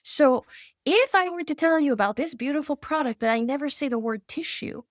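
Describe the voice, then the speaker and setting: An expert woman guest from Hawaii tells her points in an interview with emphatic animation. Her voice sits fairly high. The recording is close and dry. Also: brisk, no room sound. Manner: animated, emphatic storyteller who laughs between points. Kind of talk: conversational interview.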